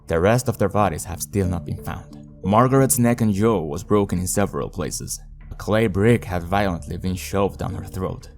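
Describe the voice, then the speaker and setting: A man's voice: low voice, gravelly, a little mellow. A man hosting a horror video review, moving between scripted narration and casual commentary. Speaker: host of a video reviewing an analog horror series.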